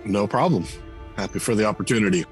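A middle-aged man, a game writer and designer, talking casually in an interview and telling relaxed stories with frequent restarts. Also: lowish voice, fast, soft background music. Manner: relaxed storyteller, many restarts and fillers.

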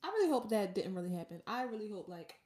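A woman with a squeaky voice co-hosting a podcast in casual chat. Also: sing-song, fast talker, a little mellow. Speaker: podcast co-host.

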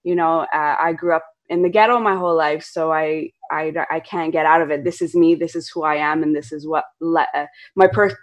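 Low-voiced chatty woman host. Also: lo-fi sound. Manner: open, chatty, lots of "you know". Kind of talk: casual conversation.

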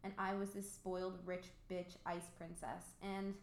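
A woman's voice: on the low side, level tone, measured pace. A woman playing a bold, candid, rich teenage girl in an amateur radio play, with a theatrical, quippy delivery. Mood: confessional, regretful. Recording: roomy home recording.

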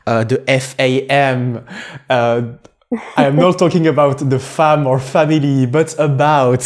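Slow, halting male interview host. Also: medium pitch, a little mellow. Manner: slow and careful, with many "uh" pauses and formal phrasing. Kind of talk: interview questions.

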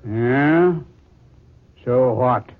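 A man's voice: medium pitch, drawn-out words. An actor playing a brash, wisecracking, skeptical policeman who talks in slang and stammers, in a 1940s radio drama. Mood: mocking. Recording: tinny, band-limited 1940s radio recording.

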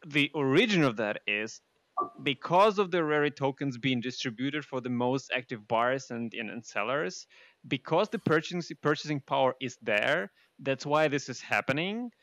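Gravelly, bright-voiced man hosting a discussion. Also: mid-range voice, expressive, moderate pace, dry recording. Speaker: discussion host. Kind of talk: casual panel discussion.

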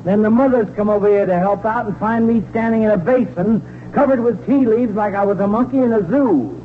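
A man's voice: high-pitched, fairly quick, natural voice. An actor playing an exasperated, put-upon middle-aged father, blustering and complaining sarcastically in a 1940s radio comedy. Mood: exasperated lecturing. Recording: noisy, tinny 1940s radio broadcast.

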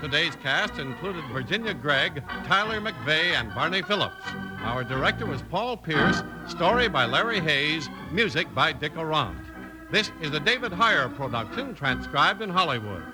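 A man announcing a 1950s radio program, with an upbeat, booming sign-off. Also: very animated, moderate pace, over music, low-quality recording.

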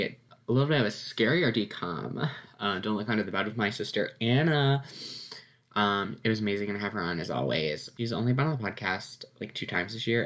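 A man's voice: fairly low pitch, fairly bright tone. A teenage boy hosting his own podcast, chatting casually and upbeat with lots of ums and little laughs, sounding a bit congested and sniffly. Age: teens. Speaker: podcast host. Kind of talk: casual solo podcast recap.

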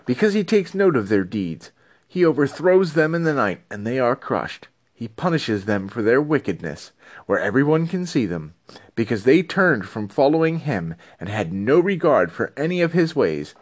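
A man's voice: mid-range voice, big pitch swings, normal speed. A man reading scripture aloud in a dramatic, emphatic, oratorical style. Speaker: Bible reader.

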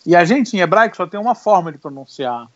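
A man with a Rio de Janeiro accent chats animatedly on a podcast, his voice sitting a bit high. The recording is dry and a little muffled. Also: fast talker, no echo. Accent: Carioca Portuguese. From Rio de Janeiro, Brazil. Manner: lively, animated storytelling with laughs. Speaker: podcast co-host. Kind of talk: casual chat. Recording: dry, slightly dull audio.

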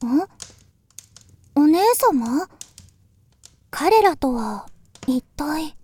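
Woman acting a high-voiced, formal younger sister. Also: lively intonation, warm tone, heavily acted. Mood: polite curiosity. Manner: formal, deferential, measured. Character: polite, earnest younger sister, gravely devoted. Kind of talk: Japanese voice drama acting.

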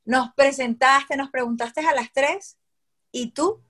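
A woman with a Venezuelan accent chatting on a podcast in a lively, talkative way. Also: sits high, takes it slowly. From Acarigua and Barquisimeto, Venezuela. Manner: lively, chatty, laughs easily. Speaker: podcast co-host. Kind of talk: casual podcast chat.

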